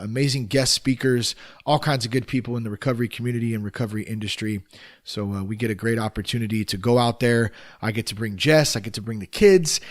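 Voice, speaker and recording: A man hosting a solo podcast, his naturally raspy voice worn and tired, talking in a casual, upbeat, rambling way with many fillers. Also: medium pitch. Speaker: podcast host.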